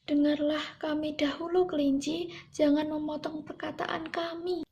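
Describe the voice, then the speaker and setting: A woman's voice: high voice, level tone, a little slow, mellow. A young woman voicing a firm, patient cow who explains things in a puppet-show fable. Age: young adult. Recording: dull, muffled sound.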